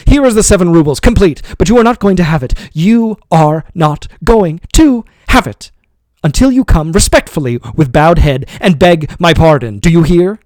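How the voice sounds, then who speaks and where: A man voicing an enraged, humiliated man who shouts and shrieks at his servant. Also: lively intonation, normal speed, dry recording, close to an everyday voice. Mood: furious. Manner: shouting, shrill outbursts.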